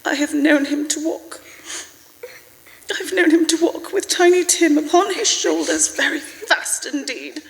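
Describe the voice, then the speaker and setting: A woman playing a gentle, grieving mother of a poor family in a live radio play, tender, sorrowful and restrained. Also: high-pitched, animated, measured pace. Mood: wistful, tender. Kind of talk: live radio-play acting of Victorian story. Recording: reverberant church hall, music behind. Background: music.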